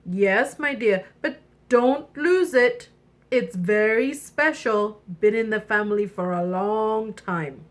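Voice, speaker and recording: A woman voicing a fatherly, solemn storybook king who speaks in a measured, earnest way. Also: medium pitch, voice pushed down, close to an everyday voice. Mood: solemn, proud. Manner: measured, earnest, stressing words. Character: fatherly, solemn, doting old-fashioned king.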